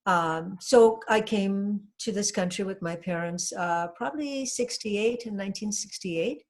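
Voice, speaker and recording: A woman's voice: mid-range voice, measured pace. An older woman from Trinidad, a hypnotherapist guest, speaking earnestly and reflectively in a casual interview, with frequent fillers.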